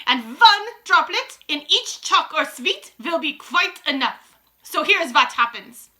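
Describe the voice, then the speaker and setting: A woman's voice: sits high, big pitch swings, slow, sharp tone, voice pushed up, exaggerated voice. A woman voicing an imperious, cruel grand witch in a storybook, commanding her followers theatrically with stilted grammar. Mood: gloating, emphatic. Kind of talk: dramatised storybook reading.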